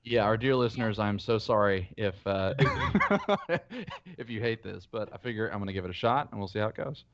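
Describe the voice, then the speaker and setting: Lively low-voiced man on a dull recording. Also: a little fast. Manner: animated, apologetic and laughing. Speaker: player. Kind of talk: casual table talk. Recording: dull lo-fi recording with faint noise.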